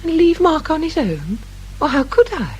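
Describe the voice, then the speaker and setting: A woman's voice: sits high, big pitch swings, normal speed, poor audio. An actress with a British accent playing an anxious, guarded grieving mother in a radio crime drama, speaking politely and hesitantly. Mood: guarded, defensive.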